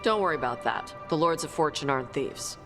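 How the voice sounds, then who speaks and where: A gruff, clipped dragon hunter. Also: female voice, sits low, lively intonation, a little fast, over music. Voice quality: clipped, blunt, dismissive. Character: blunt young dragon hunter, terse and gruff. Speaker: treasure hunter. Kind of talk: video game cutscene dialogue.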